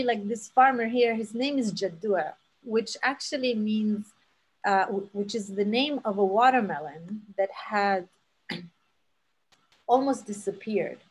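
Palestinian woman in her 30s on a video call, earnest, lots of ums and restarts, mid-pitched voice. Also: rough recording. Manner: earnest, with many fillers and restarts. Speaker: seed saver giving a talk. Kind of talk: storytelling talk. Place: home room on a video call. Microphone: laptop webcam mic on a video call.

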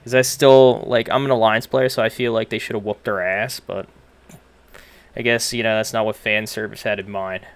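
A man's voice: lowish voice, flat delivery, normal speed, clean tone, no echo. A young man doing casual, sweary, off-the-cuff reaction commentary with a hesitant, informal delivery. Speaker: reaction streamer. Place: small room at home. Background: game cutscene music.

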